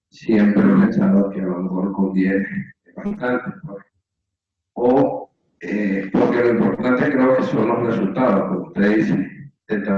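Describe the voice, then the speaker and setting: A man asking a lecturer long questions, hesitant and rambling with many fillers. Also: on the low side. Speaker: audience member asking questions. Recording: distant echoey lo-fi call audio.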